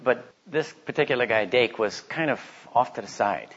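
Male Bible teacher, animated storyteller, mid-pitched, slightly muffled lo-fi sound. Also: normal speed, breathy edge. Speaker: Bible teacher giving a talk. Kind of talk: informal religious teaching with stories and a read-aloud testimony. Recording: slightly dull, lo-fi recording.

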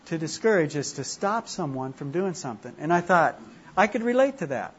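A man's voice: on the high side, very animated, brisk, husky, lo-fi sound. A man from Minnesota with a Minnesota accent, leading an informal class discussion in a chatty, self-deprecating way. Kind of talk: informal group teaching.

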